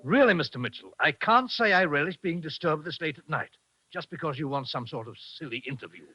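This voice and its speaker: A man acts out a haughty English businessman, irritated and prim. His voice sits a little high. It is 1940s radio acting on a muffled recording with music. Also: animated, brisk, natural voice. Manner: disdainful, prim.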